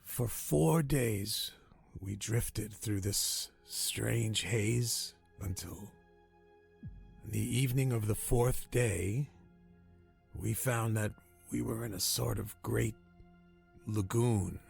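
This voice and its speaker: Gravelly, dying old castaway. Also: male voice, lowish voice, darkish tone, faint music behind, exaggerated voice. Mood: weary, haunted. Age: older. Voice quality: weak, raspy, breathy. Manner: halting, solemn, old-fashioned phrasing. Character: dying old castaway man, weary, haunted, grateful. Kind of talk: radio drama storytelling.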